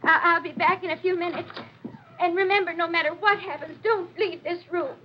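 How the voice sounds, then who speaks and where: Shrill-pitched, frantic mother. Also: fairly even intonation, brisk. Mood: frantic, accusing. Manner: breathless, fretful, alarmist. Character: frantic, suspicious middle-aged mother. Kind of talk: 1940s radio drama acting. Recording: muffled, noisy old radio recording.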